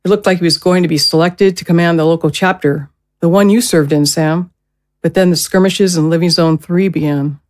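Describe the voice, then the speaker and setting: A woman playing a gentle, protective middle-aged mother in an audio drama, speaking in a soothing, careful way. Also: fairly low pitch, steady tone, fairly quick, natural voice. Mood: regretful.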